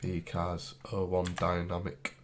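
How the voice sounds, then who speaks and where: A man's voice: sits low, completely flat delivery, slow, noisy background. A man with a cold, sniffling, casually talking through a game tutorial as he clicks and types. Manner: thinks aloud while clicking and typing, loose and chatty. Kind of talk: casual screen-recorded tutorial.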